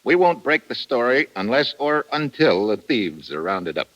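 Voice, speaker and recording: An actor playing a suave, wry American foreign correspondent in an old-time radio drama. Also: male voice, mid-range voice, big pitch swings, moderate pace, fairly bright tone, muffled recording. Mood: businesslike. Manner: wry, casual, smooth-talking.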